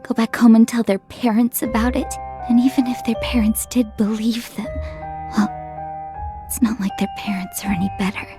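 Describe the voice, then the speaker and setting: A woman plays a timid, earnest young woman in a horror visual novel game. Her voice is high and soft, hesitant and a little breathy, with music playing underneath. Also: level tone, moderate pace, lightly acted. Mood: subdued, thoughtful.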